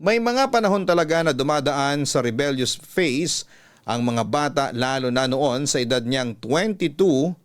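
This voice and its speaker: A man with a Filipino accent narrating scripted, emotional stories in a classic radio style, measured and heartfelt with dramatic pauses. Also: mid-range voice, lively intonation. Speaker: radio storyteller and host. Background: soft background music.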